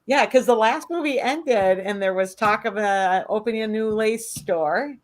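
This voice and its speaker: A woman hosts a casual interview in a chatty, affirming way, often tagging her lines with "right?". Her voice has a middle pitch and a conversational pace. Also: unhurried, a little hiss or hum. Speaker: entertainment show host.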